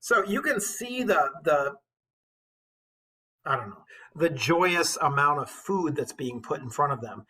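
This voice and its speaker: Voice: mid-range voice, lively intonation, measured pace, pauses often, sharp tone, slightly rough, rough recording. A teacher reading a poem aloud with dramatic storytelling emphasis, breaking into casual, informal commentary.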